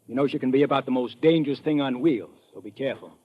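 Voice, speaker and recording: An actor playing a chummy, cautioning American associate in an old radio drama, with a dry, slangy, knowing manner. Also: male voice, medium pitch, fast, close to an everyday voice. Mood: teasing, cautioning. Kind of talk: 1950s radio drama acting. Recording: muffled, slightly noisy vintage radio recording.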